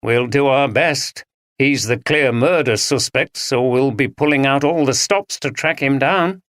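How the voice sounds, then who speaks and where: A man voicing a polite young male detective who speaks in a measured, official way. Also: medium pitch, a bit bright, no echo, clean studio sound, pitched above the performer's own voice, close to an everyday voice. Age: young adult.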